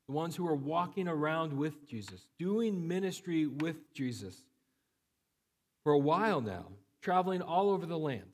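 A man preaching a sermon while he has a cold. His voice is low and flat, and he talks conversationally with many fillers and restarts. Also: in a large hall. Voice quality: low, monotone voice. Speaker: pastor.

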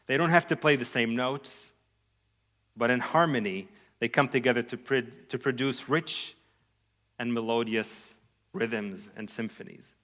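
A man preaching a sermon in an earnest, measured way, his voice rising on key phrases and trailing off softly at the ends of thoughts. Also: mid-range voice. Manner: earnest, measured preaching with sweeping rises and soft trailing endings. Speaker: pastor.

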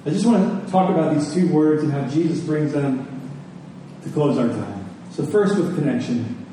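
A man's voice: a little high, animated, moderate pace, mellow. A man preaching a sermon to a congregation, using rhetorical questions and repeated phrases for emphasis. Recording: very echoey room, distant mic, faint noise.

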